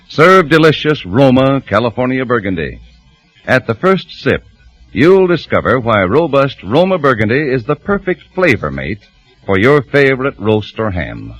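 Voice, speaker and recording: A man reads as a 1940s radio announcer. His medium-pitched voice swings expressively and lands words with polished emphasis, close and dry over faint music. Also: fairly bright tone, no room sound, low-quality recording.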